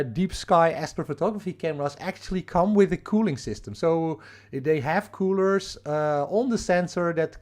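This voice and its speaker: A man from the Netherlands with a Dutch accent, hosting a live astrophotography stream, explaining in a casual, halting way with many fillers and restarts and answering viewer chat. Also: on the high side, darkish tone. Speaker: astrophotography livestream host.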